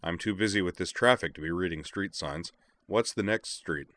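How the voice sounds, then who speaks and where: Unflappable, mild-mannered driver for a storybook male reader acting, low pitch, measured delivery, dry sound. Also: fairly bright tone, no room sound. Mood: pleased. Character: calm, level-headed, unflappable male driver.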